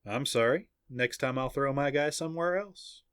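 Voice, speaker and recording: A man's voice: medium pitch, normal speed, a bit bright, clean tone, dry recording, studio quality, voice pushed up, close to an everyday voice. Man voicing a cool, nonchalant male fighter. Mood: offhand. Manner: understated, offhand. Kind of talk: audiobook character dialogue.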